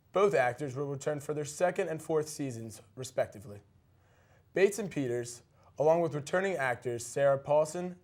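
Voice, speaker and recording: A man's voice: medium pitch, a little mellow. A man co-anchoring a news segment, reading scripted stories with brief casual remarks and occasionally stumbling over a word.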